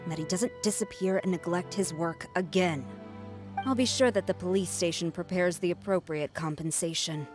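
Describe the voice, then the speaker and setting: A woman playing a stern, formal military commander in a video game, speaking with measured authority. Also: lowish voice, lightly acted. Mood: formal, commanding. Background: game music.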